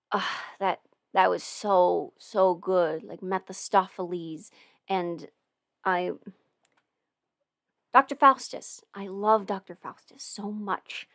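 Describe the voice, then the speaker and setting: A woman's voice: mid-range voice, a bit bright, no room sound. A young woman in her twenties hosting a casual livestream Q&A from home, talking close to the microphone with lots of fillers and giggles. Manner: rambling, giggly, many fillers. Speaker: livestream host answering questions. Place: home, eating ramen.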